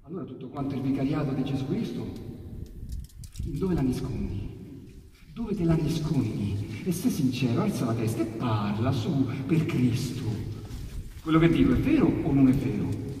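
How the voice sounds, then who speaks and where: A man's voice: medium pitch, lively intonation, mellow. Echoing theatrical Roman man, impassioned recitation. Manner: impassioned, emphatic storytelling with dramatic pauses and swells. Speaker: actor reciting narrative poetry on stage. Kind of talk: dramatic theatrical recitation of dialect verse. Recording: echoey hall, distant mic, faint noise.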